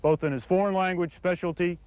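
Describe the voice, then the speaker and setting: A man, an army captain, delivers a formal, scripted briefing about his unit. His delivery is crisp, measured and official. His voice sits a little high, and the recording is dry and close. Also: steady tone, a little mellow, dry recording, poor audio.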